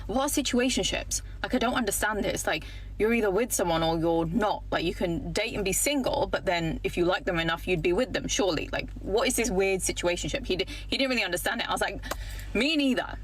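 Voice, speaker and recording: A woman's voice: medium pitch, brisk, breathy edge, low-quality recording. A woman in her thirties, telling a dating story in a chatty, talkative way, full of "like". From Britain. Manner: chatty, talkative, filler-heavy with frequent "like". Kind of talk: casual storytelling to camera.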